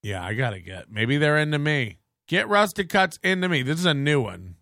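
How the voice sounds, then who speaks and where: Man, podcast host, rambling crude chat, fairly high voice, bright tone. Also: sing-song, moderate pace, vocal fry, no echo, studio quality. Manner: crude, joking, meandering with frequent restarts.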